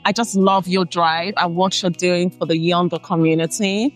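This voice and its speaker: Guest: Nigerian-accented businesswoman, lowish voice, emphatic and encouraging, faint music under her. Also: steady tone, normal speed. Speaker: tech training company founder, guest. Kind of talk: motivational interview talk.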